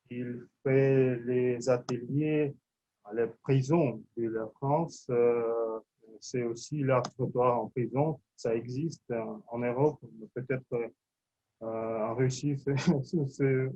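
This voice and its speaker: A man hosting a speaking club meeting, introducing speakers in halting speech full of fillers. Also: medium pitch, mellow, low-quality recording. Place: meeting in front of an audience.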